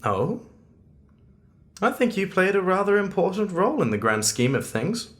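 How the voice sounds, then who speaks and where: An actor playing a calm, mysterious agent who guards time, speaking in a measured, formal, gently wry way in an audio drama. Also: male voice, high-pitched.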